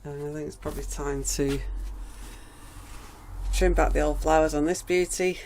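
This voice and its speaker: A woman vlogging casually from her garden greenhouse, her voice croaky after a cold, chatting in a rambling way with frequent ums. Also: low voice, steady tone, moderate pace, a little mellow, poor audio. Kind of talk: casual gardening vlog chat.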